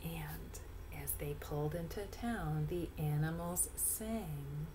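Woman doing a kids storytime, slowish, kinda low voice, bit of background hiss. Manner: explains words and rhymes to listeners. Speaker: picture-book reader. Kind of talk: read-aloud storytelling for young children. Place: small indoor room at home.

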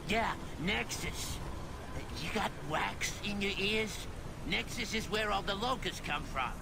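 A very gravelly old man from a video game, suspicious and grumbling. He talks bluntly and folksily, pitched a bit high, over clear background noise. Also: unhurried. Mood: irritated, grumbling. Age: older. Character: cranky, suspicious old male civilian survivor. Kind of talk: video game cutscene acting.

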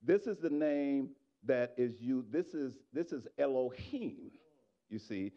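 Middle-aged male pastor mid-sermon, raspy edge, muffled lo-fi church recording, shouts then drops low. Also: lively intonation, slow pace. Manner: preaching with rising shouts and quiet asides to the congregation. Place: church sanctuary. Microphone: handheld microphone.

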